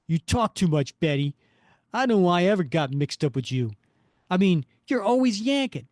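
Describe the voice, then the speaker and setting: A man plays a menacing comic-book criminal, resentful and paranoid. He accuses and threatens with big swings in pitch, recorded dry and close. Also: very animated, moderate pace, darkish tone, no echo. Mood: cold menace.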